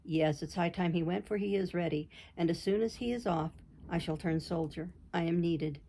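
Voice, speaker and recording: A woman voicing an earnest, kindly young male tutor in a storybook, speaking in a sincere, measured way. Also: lowish voice, mellow, slight background noise, close to an everyday voice.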